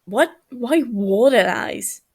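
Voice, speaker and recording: A woman's voice: fairly high pitch, lively intonation, slow, breathy edge, exaggerated voice. A woman voicing a smug, overdramatic, grumbly young boy healer in a story reading. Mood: wary. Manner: cheeky, overdramatic, sweary.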